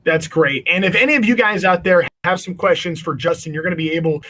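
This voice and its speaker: High-pitched American man hosting a kids' event. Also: quick pace, sharp tone. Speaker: event host. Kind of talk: upbeat hosting of a children's virtual event.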